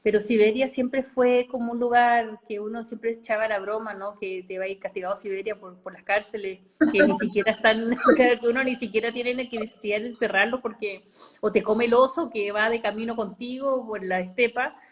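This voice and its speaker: A woman's voice: on the high side, steady tone, brisk, poor audio. A woman from Chile and Costa Rica talking casually as an interview guest, in loose, rambling phrases. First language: Spanish.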